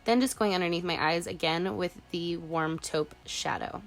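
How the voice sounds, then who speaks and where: A woman's voice: lowish voice, moderate pace, soft background music. A young woman in her twenties with a congested cold voice, chatting casually through a makeup tutorial voice-over. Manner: chatty, casual, uses "like" a lot. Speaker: beauty vlogger.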